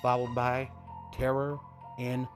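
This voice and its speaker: A man introduces a radio program in a casual hosting style. His pitch sits in the middle range, and music plays clearly behind him. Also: little pitch movement, slow pace. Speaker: host of an old radio horror show.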